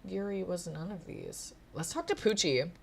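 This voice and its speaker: A woman's voice: fairly low pitch, lively intonation, normal speed, gravelly, noisy background. A woman hosting a gaming video, reading a visual novel aloud and commenting casually, with some swearing and giggly asides. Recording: music behind the voice. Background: music.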